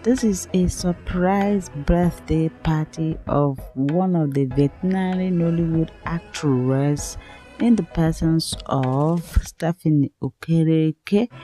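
A middle-aged woman with a Nigerian accent, hosting a celebrity news vlog in a low-key late-night delivery. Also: mellow. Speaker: channel host.

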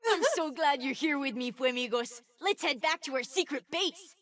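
A woman playing an eager, sweet, boastful twelve-year-old boy fire mage in a video game, with an excitable, earnest, childlike manner. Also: sits high, moderate pace, far from the mic. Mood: earnest, warm. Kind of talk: video game character voice lines.

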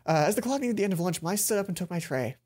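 A man's voice: sits high, expressive, quick pace, a little mellow, breathy edge. A young man reading a visual novel aloud expressively as a let's play host, with asides of commentary. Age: young adult. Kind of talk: expressive read-aloud narration and game commentary. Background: game music.

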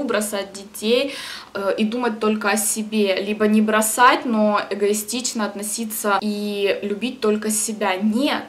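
A young woman with a cold, talking casually to camera in a small indoor room, chatty and animated with preachy bursts. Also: a little high. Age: young adult. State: has a cold. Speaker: vlogger. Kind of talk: casual vlog talk to camera.

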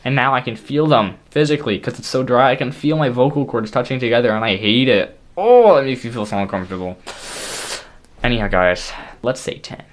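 A teenage boy talking casually to his stream in a small bedroom, excitable and jokey, his voice strained from overuse. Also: mid-range voice, slight background noise. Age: teens. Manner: excitable, jokey, talks fast in bursts. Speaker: YouTuber. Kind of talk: casual stream chat to camera.

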